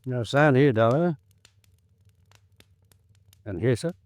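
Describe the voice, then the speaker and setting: A man playing a formal, frank, fatherly older lawyer in an old-time radio drama, speaking in a measured, protective way. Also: mid-range voice, lively intonation, a little mellow, dry recording. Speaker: supporting actor.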